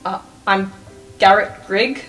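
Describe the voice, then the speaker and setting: A woman's voice: medium pitch, slow pace, a bit bright, faint music behind, poor audio. A woman voicing an awkward, modest apprentice engineer in a tabletop game, hesitant and plain-spoken.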